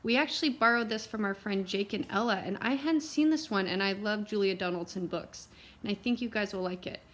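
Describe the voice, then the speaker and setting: A woman reading a picture book aloud to young children in an expressive way, with simple asides to her listeners. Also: medium pitch, fairly quick. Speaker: read-aloud teacher and storyteller.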